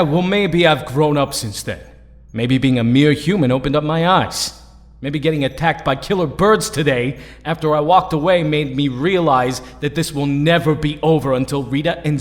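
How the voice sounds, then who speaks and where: An actor plays a blunt, resolute young ex-hero with a fairly high male voice. He is earnest and embittered, ringing in a long hall reverb. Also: moderate pace, lightly acted. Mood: bitter. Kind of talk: audio drama acting.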